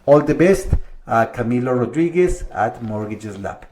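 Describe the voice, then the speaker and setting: A man's voice: mid-range voice, expressive, normal speed, darkish tone, slight background noise. Middle-aged man explaining finances. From Colombia. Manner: conversational, walks through numbers step by step, slight restarts. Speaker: mortgage broker. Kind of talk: explanatory video monologue to viewers.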